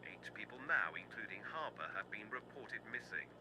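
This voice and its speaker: A man's voice: lowish voice, lively intonation, normal speed. A man playing a grave, formal TV news reader, enunciating heavily as he reads a scripted bulletin. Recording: noisy, old-style TV news broadcast.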